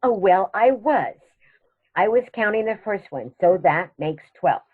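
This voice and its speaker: An actress playing a genteel elderly aunt in a comedy radio play, serenely matter-of-fact and gently chiding. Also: female voice, mid-range voice, expressive, a little slow, phone-quality sound, background noise, lightly acted. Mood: firm, unbothered. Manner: prim, gently chiding, unruffled. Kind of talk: stage comedy radio theatre acting.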